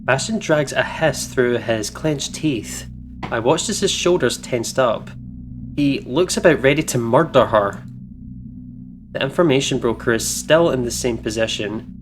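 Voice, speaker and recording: A man doing a casual game playthrough, reading narration aloud and reacting with amusement. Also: mid-range voice. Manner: giggly, amused.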